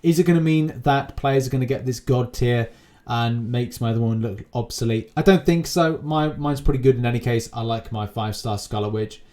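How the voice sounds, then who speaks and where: A man's voice: medium pitch, a little fast, darkish tone. Male gaming channel host, informal and unscripted, talking in loose, repetitive, rambling commentary.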